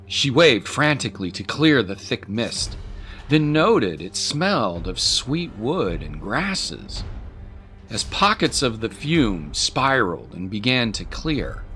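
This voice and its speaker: A man's voice: on the low side, pushed and bright. A man narrating a scripted story in a dramatic storytelling style.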